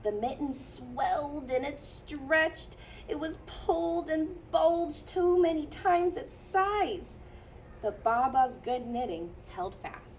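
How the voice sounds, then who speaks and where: A childcare teacher, a woman, reading a picture book aloud to children in a gentle storytelling manner with playful asides. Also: high voice, lively intonation, slow pace, recorded from a distance, slight background noise, poor audio.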